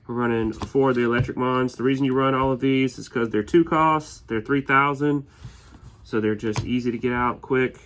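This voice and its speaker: A man's voice: medium pitch, steady tone, normal speed, a bit bright, slight background noise. A man with a slight head cold, sniffling a little as he casually walks through his winning card game deck with plenty of fillers and restarts. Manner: easygoing, many fillers and restarts. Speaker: tournament winner presenting his deck.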